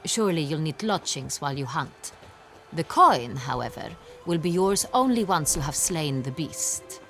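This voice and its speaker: An actress playing a haughty, formal young duchess in a video game, quick to indignation. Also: female voice, deep voice, animated, fairly bright tone, soft background music. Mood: composed, regal. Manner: formal, speaking with the royal we. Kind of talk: video game character acting.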